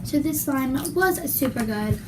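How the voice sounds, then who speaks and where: A woman's voice: high voice, expressive. A young woman reviewing slime in a casual vlog, chatty and apologetic, her voice worn and her throat scratchy. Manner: apologetic, chatty, drawn-out words. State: losing her voice, clearing her throat. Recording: loud background noise.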